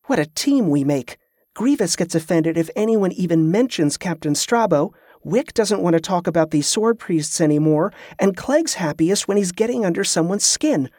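A composed woman leading travellers acted out by a man in a fantasy audiobook. The voice is somewhat high, polite and controlled, with diplomatic care. It is recorded very dry. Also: lively intonation, normal speed, dark tone, no room sound. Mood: wry, amused.

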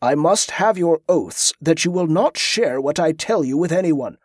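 Narrator doing a calm formal monk, measured, dry close sound. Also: male voice, on the high side, slightly rough, no room sound. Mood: solemn.

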